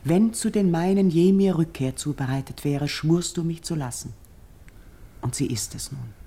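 An actress playing a noble, devout young priestess in a classical radio drama, pleading in solemn, declaimed verse. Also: female voice, low voice, normal speed, mellow.

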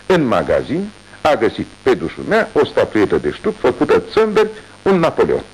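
A man's voice: mid-range voice, poor audio. A talkative police inspector in an old radio play. His voice leaps up and down as he tells his story with deference to the detective. The sound is from a vintage radio studio. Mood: animated. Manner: animated, storytelling, respectful. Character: Inspector Lestrade, eager male police inspector. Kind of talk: 1980s radio drama acting.

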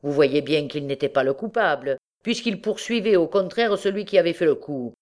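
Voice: mid-range voice, expressive, normal speed, lightly acted. A narrator voicing a matter-of-fact bystander who explains insistently in a storybook reading. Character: reasoning bystander calming a quarrel, matter-of-fact witness.

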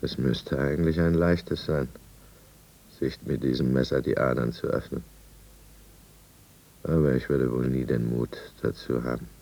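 Very deep, gravelly broken man. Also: fairly even intonation, measured pace, long pauses, mellow, full character voice. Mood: numb despair. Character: broken, bitter middle-aged alcoholic businessman confined in asylum. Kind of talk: 1950s German radio drama, first-person narration. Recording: 1950s radio studio recording.

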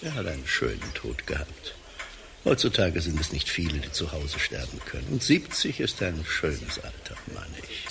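A man's voice: extremely low pitch, very animated, normal speed, vocal fry, full character voice. An actor playing an older man, a chatty grandfather among funeral guests in a radio play. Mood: musing. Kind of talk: German radio play dialogue. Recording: birdsong and ambient noise behind.

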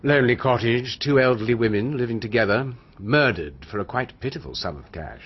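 A glib young British man. He talks casually in a medium-pitched, slightly rough voice with plenty of movement. The audio is a bit dull. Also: animated, fairly quick. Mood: chatty. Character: glib, casual young male nephew short of money. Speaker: actor in a radio mystery dramatisation. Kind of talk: British radio drama acting. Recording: slightly dull, band-limited radio recording.

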